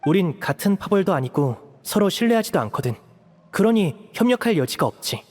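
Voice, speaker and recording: Dutiful young male leader for a game: fairly high voice, polite, measured, reverberant sound. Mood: calm, reassuring. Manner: calm, measured, explanatory. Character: composed, polite young man, dutiful leader of his siblings. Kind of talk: video game voice acting.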